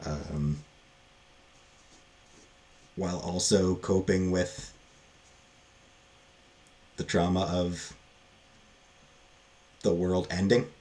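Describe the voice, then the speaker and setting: Man, lowish voice, casual book reviewer, unscripted, halting delivery, frequent thinking pauses. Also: level tone, a little mellow, low-quality recording.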